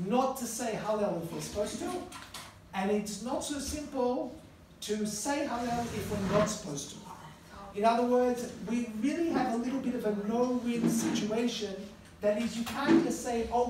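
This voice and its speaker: A middle-aged man teaches a class in a relaxed, storytelling way, turning now and then to speak directly to his students. He is recorded from across the room, so his voice bounces around a very echoey classroom with a faint hiss underneath. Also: very high voice, far from the mic. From Australia. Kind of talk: conversational classroom lecture. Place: classroom with a live audience.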